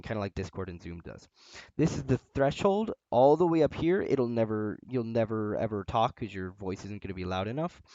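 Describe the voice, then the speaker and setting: A low-pitched man walks through a game tutorial in a loose, rambling style, with plenty of "uh" fillers and a loud voice. The sound is dry and close, with a slightly dull, lo-fi tone from a home desk microphone. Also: no echo. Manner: relaxed, rambling, frequent "uh" fillers. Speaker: tutorial host. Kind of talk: casual screen-recorded game tutorial. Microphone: desk microphone near a loud keyboard.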